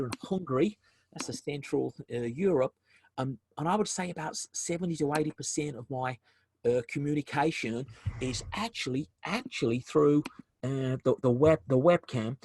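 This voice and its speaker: Husky South African man presenting online. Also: a little high. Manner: repeats words and restarts phrases. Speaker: webinar presenter, business development specialist. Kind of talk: presenting a webinar. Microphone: video call.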